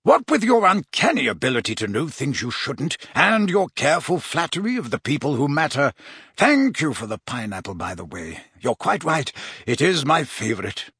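A man as a jovial, pompous teacher, swooping pitch. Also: very animated, moderate pace, a bit bright, slightly rough, dry recording, studio quality, heavily acted. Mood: jovial, teasing. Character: jovial, smug middle-aged male teacher, indulgent and teasing.

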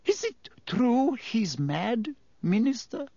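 A man's voice: very high-pitched, very animated, slow pace, gravelly, dark tone, dry recording, voice pushed up, exaggerated voice. A man voicing a nosy, eager, opinionated woman in an audiobook, with an inquisitive, gossipy manner.

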